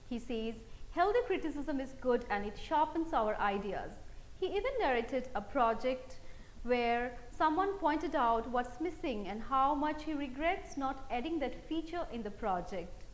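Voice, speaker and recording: A woman with an Indian accent reading scripted news as a presenter. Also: a little high. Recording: echoey room, faint background noise.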